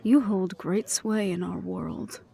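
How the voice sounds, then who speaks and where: A woman playing a wry, affectionate ex-hunter in an intimate audio roleplay, speaking softly and teasingly, with breathy asides. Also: fairly low pitch, slow pace, exaggerated voice. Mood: weary, earnest. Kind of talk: intimate one-sided audio roleplay acting.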